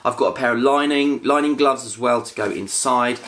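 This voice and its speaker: A man's voice: mid-range voice, fairly quick, a bit bright. A British man in his thirties, chatting casually about gear while seated on a living room sofa. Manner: chatty, upbeat, laughs between items. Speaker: family vlogger. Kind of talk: casual product haul chat. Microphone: camera on a tripod in front.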